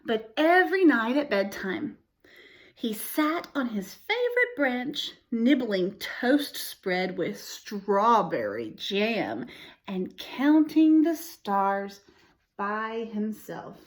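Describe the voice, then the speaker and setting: Female storytime reader for young kids: unhurried pace, slightly high voice, expressive, explains and asks questions. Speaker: storytime host reading to children. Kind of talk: read-aloud picture-book storytelling with explanations for young children.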